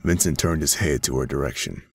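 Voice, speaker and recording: A man's voice: rumbling low, brisk, creaky, husky, dry recording. A man reading scripted story narration as the narrator of an audio drama. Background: music.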